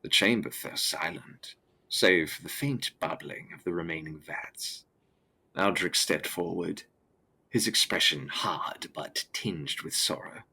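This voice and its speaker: A man narrating a gothic audio drama from a script in a solemn, measured, dramatic way. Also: low-pitched, pushed and bright, slightly rough.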